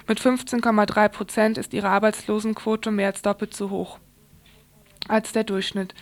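A woman presenting radio news from a script. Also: medium pitch.

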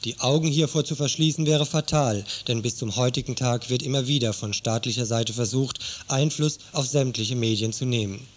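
A man's voice: mid-range voice, normal speed, few pauses. A man narrating a scripted radio news feature in an earnest, critical tone.

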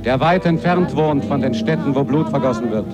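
A man's voice: mid-range voice, normal speed. A man reciting a literary text, with music behind him. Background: loud music.

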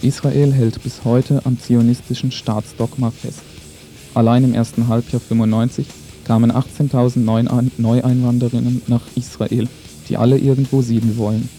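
A man's voice: mid-range voice, flat delivery, moderate pace, mellow, soft background music. A man reads a scripted political news commentary in a formal, sober manner.

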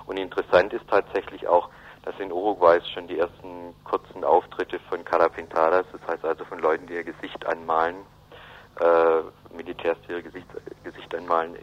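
A man giving a hesitant, analytical explanation in an unscripted radio interview, often pausing and rephrasing. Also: low-pitched. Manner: hesitant, halting, with frequent ums and rephrasing. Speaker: interviewee explaining South American politics. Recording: dull, band-limited radio recording.

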